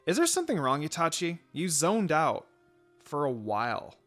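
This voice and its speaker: A man voicing a feisty young ninja woman in a fan fiction story, blunt and expressive. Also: fairly high pitch, normal speed, faint music behind, pitched above the performer's own voice. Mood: teasing, concerned.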